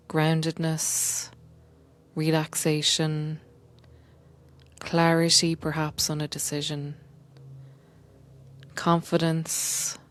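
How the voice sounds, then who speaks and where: A woman guiding a meditation in a gentle, unhurried, soothing way, often softening toward a breathy whisper. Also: deep voice. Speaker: meditation guide and coach, podcast host. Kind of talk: guided meditation, soothing slow instruction.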